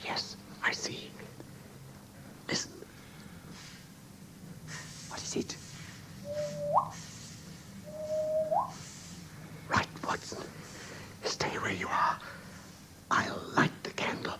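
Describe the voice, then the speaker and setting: An actor playing a shrewd, commanding gentleman detective in a British radio drama, speaking in a precise, articulate, authoritative manner with a British accent. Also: slow pace, long pauses, whispered, natural voice. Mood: tense whisper. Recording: noisy old radio drama recording.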